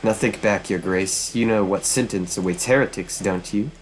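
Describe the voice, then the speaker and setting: A man's voice: fairly low pitch, normal speed, slight background noise, close to an everyday voice. A man voicing a vengeful witch woman from hell, speaking in a theatrical, formal and taunting way. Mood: cruel, mock sorrow.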